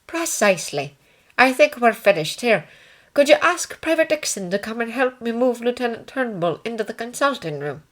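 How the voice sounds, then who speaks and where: A woman voicing a tactful senior army nurse, measured, motherly and precise, in a story reading. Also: on the high side, big pitch swings, fairly bright tone, voice pushed up. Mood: brisk.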